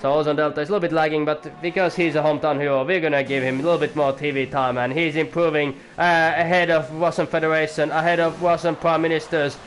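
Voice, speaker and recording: Young male league commentator, rambly and jokey, slightly high-pitched. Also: steady tone, quick pace, few pauses, a bit bright, a little hiss or hum. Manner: rambling, chatty, jokey asides to viewers. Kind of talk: live sim-racing commentary.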